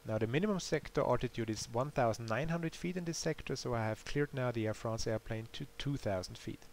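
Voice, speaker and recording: A man narrates a software tutorial in an explanatory, matter-of-fact way. His voice sits in an ordinary middle register. A faint layer of hiss runs behind him. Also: medium pitch, fairly quick. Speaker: developer demonstrating a simulator game. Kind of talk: tutorial voice-over. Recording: clear background hiss.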